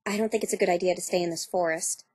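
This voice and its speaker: A woman's voice: lowish voice, steady tone, very fast, a little mellow, breathy edge. A woman voicing a serious, guarded, bookish young female unicorn pony in cartoon game dialogue, expressively. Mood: guarded, subdued. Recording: background music under the voice.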